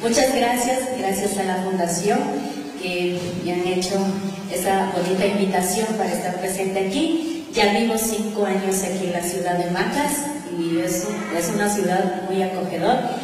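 A woman's voice: medium pitch, normal speed, darkish tone. A native Kichwa-speaking woman from Amazonian Ecuador, with that region's accent, introducing herself informally as a singer-songwriter before performing. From Napo province, Amazonian Ecuador. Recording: echoey hall, distant mic, faint noise.

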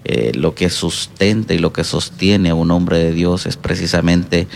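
Deep, gravelly Honduran man, halting and reflective. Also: little pitch movement, a little hiss or hum. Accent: Honduran Spanish. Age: middle-aged. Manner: halting, reflective, hesitant pauses. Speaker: guest pastor and counselor. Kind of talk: reflective interview answers.

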